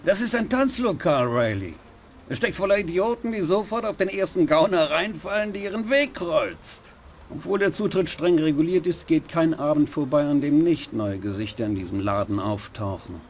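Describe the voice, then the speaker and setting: A worldly, mocking nightclub owner in a dubbed noir game. He speaks with a condescending drawl in a fairly high voice that swoops around animatedly. A light hiss sits beneath. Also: expressive, normal speed.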